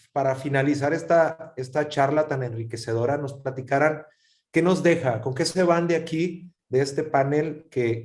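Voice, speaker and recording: A man's voice: mid-range voice, darkish tone. A man moderating a panel discussion who speaks in a thoughtful way and often repeats words while he searches for a phrase.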